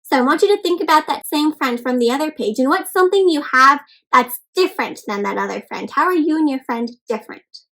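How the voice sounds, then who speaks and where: High-pitched woman reading to young children. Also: brisk, hardly any pauses, rough recording. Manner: expressive, encouraging, asks the listener questions; addresses listeners as friends. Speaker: children's read-aloud host. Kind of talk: interactive storybook read-aloud for young children.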